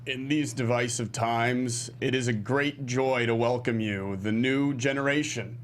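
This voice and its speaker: A man's voice: medium pitch, steady tone, unhurried, a little hiss or hum, lightly acted. A man playing a painfully genuine old man, speaking formally and earnestly in a comedy table read.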